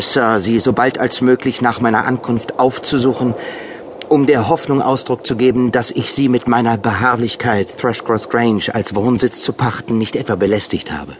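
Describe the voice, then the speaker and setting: An actor playing a polite, wordy young gentleman tenant who narrates and speaks with formal, elaborate courtesy in a radio drama. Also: medium pitch, noisy background, close to an everyday voice. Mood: courteous.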